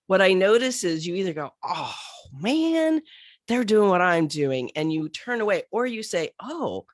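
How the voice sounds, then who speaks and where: A middle-aged woman with a cold, hoarse and a bit scratchy, chatting animatedly as a podcast guest with many fillers. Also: mid-range voice, no echo, clean studio sound. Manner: animated, thinks aloud with many fillers and restarts. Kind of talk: casual interview chat.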